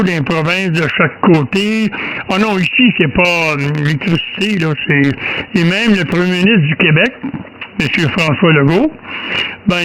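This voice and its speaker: A man with a Quebec French accent chatting casually on amateur radio in a halting, restarting way. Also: a little high, fairly bright tone, poor audio. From Quebec, Canada. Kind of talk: casual ham radio chat. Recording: amateur radio signal with faint noise.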